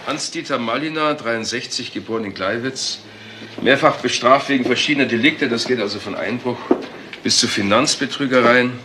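A man's voice: medium pitch, moderate pace, background noise, natural voice. An actor playing a male police investigator in a German crime radio play, reporting in a dry, factual manner. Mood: matter-of-fact.

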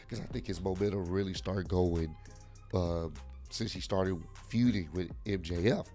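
A man in his thirties with a hoarse, worn-out voice, casually reviewing a wrestling event from a desk microphone at home. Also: sits low, darkish tone. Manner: casual, rambling with frequent fillers. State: hoarse, lost voice. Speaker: wrestling vlogger. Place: home room with lit shoe shelves. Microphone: desk mic on arm.

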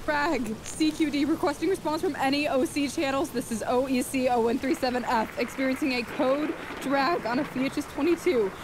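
A woman's voice: high-pitched, normal speed, few pauses, close to an everyday voice. A woman playing an anxious, dutiful communications officer in a science fiction audio drama. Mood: urgent, formal. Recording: noisy rain and storm effects.

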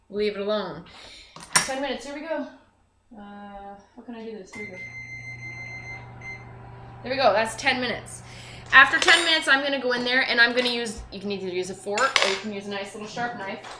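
A woman's voice: a little high, a little fast, pauses often. Young woman host in an echoey kitchen. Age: young adult. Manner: chatty, informal, thinking aloud while working. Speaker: cooking show host. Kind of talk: casual cooking demonstration to camera. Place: indoor home kitchen. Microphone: camera mic a few feet away. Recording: roomy, echoey, noisy kitchen recording.

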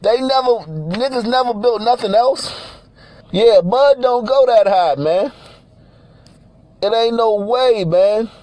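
A man's voice: very high-pitched, slow, long pauses, a little mellow, no room sound. A man from Nigeria giving blunt, profane, repetitive commentary over pictures, often laughing between points.

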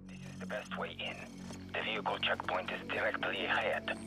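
A man playing a calm commando captain on a stealth mission in a video game, hushed and understated. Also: low-pitched, normal speed, creaky. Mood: hushed, focused. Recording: game music and radio sounds behind.

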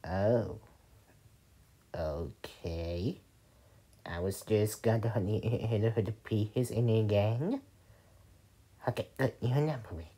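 A young adult performing voice lines theatrically, swinging between hushed chanting and loud exclamations. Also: mid-range voice, very slow, long pauses.

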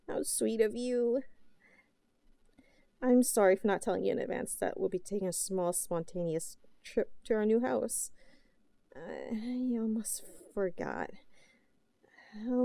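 Woman acting a soft, high-voiced obsessive yandere lover. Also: no room sound. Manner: cooing, halting, breathy asides. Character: obsessive, possessive yandere young woman, sweetly unhinged lover. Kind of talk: roleplay audio drama monologue.

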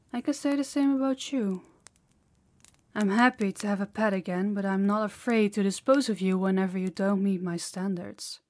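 A woman's voice: medium pitch, close to an everyday voice. A woman voicing a harsh, dominant female demon in an intimate audio roleplay, with a haughty, commanding, taunting manner. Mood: cold, threatening. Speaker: voice actor.

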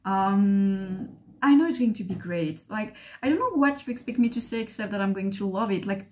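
A woman's voice: mid-range voice, darkish tone. A young woman, not a native English speaker, gushing and swearing through her reaction at home, recorded by webcam. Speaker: trailer reactor. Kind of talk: excited reaction commentary. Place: living room with bookshelves. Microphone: webcam at desk, headphones on.

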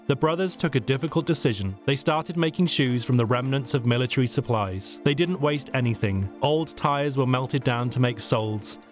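A man reading a scripted documentary narration as the narrator. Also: medium pitch. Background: background music.

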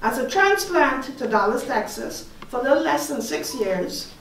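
A middle-aged woman from the Bahamas with a Bahamian accent, leading a slow, soothing guided meditation on stage as a panel artist. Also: high voice, expressive, fairly bright tone, a little hiss or hum. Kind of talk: guided meditation address to an audience. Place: large hall, on stage. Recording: echoey hall from far off.

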